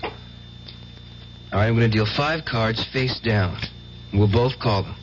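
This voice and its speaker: An actor playing an earnest, needy, devout nephew in an old radio drama. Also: male voice, lowish voice, measured pace, natural voice. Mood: confident. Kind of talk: 1970s radio drama acting. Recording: muffled, hissy old radio recording.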